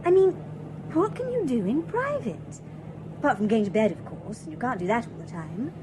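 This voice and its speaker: A woman's voice: on the high side, big pitch swings, normal speed, noisy background. An actress playing a shallow, cheerful young woman in a radio drama, talking in a breezy, chattering way. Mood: chatty. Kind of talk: BBC radio drama acting.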